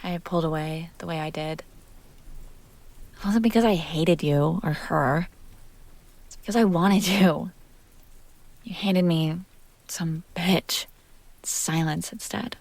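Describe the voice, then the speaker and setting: A woman playing a jealous, possessive and fiercely protective young woman in an intimate roleplay audio drama, speaking close to the mic in emphatic, halting bursts. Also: on the low side, darkish tone. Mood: tender, confessing. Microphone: close microphone, dry sound.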